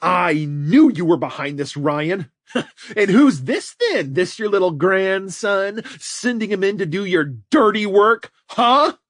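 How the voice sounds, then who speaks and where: A man voicing a cruel male villain who speaks in gruff, mocking taunts. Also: high-pitched, animated, slow pace, a bit bright, dry recording, clean studio sound, voice pushed up, exaggerated voice. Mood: menacing.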